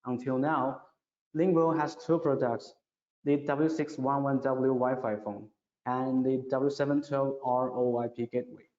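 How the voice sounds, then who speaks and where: A man presenting a scripted product webinar in a careful, halting way, now and then repeating words. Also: medium pitch, fairly even intonation, a little mellow. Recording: dull, echoey, reverberant online webinar audio.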